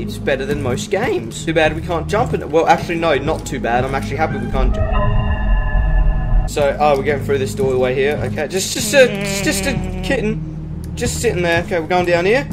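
A young man with an Australian accent giving casual, sweary, excitable commentary as he plays a game. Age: young adult. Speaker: gaming video host. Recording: loud game music and rumble over voice. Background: loud game music, submarine rumble.

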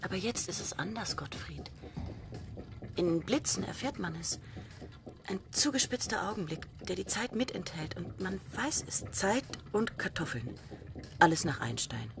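An actress in a radio play voicing a dreamy woman who muses on time and angels, often dropping to a hushed whisper. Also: lowish voice, unhurried, creaky, noisy background, heavily acted. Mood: musing. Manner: whispery, musing, shifts between hushed and excited.

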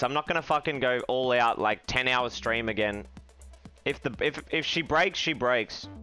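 A young man streaming a card game from a small room, swearing freely and reacting loudly to each play. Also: on the high side. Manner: profane, excitable, shouting when upset. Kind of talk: live game stream commentary.

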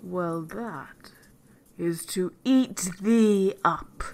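A woman's voice: lowish voice, vocal fry, full character voice. A woman voicing a sly, hungry male wolf in a storybook tale, with coaxing, predatory speech. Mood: menacing. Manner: softened, coaxing, drawn-out speech.

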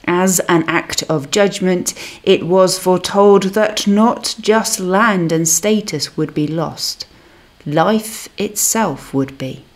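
A woman minister reading a scripted devotional reflection in a measured, solemn manner. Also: lowish voice.

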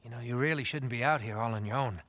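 An actor playing a middle-aged American man, a polite, soft-spoken survivor leader who talks in a hesitant, measured, placating way in a video game. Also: medium pitch, slight background noise, lightly acted. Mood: gentle, coaxing. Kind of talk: naturalistic video game acting.

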